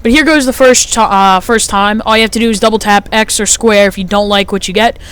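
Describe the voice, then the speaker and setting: A fifteen-year-old boy with a light, high-sounding voice, chatting casually through his gameplay commentary with lots of fillers. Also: fairly even intonation, a little fast, fairly bright tone, smooth. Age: teens. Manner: chatty, rambling, frequent fillers.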